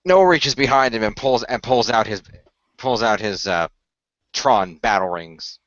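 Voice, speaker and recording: A man narrating his character in an improvised radio play, halting and repeating phrases, on a slightly dull microphone. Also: on the low side, a bit bright, dry recording.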